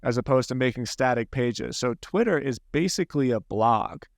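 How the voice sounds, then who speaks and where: A man's voice: medium pitch, lively intonation, normal speed, gravelly, no room sound, studio quality. A man with a Philadelphia accent, hosting a podcast in casual, rambling conversation with frequent restarts. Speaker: podcast host.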